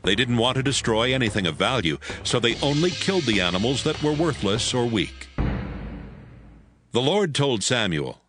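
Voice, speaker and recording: A man's voice: medium pitch, very animated, normal speed, over music. A man narrating scripture in a scripted, dramatized audio Bible style.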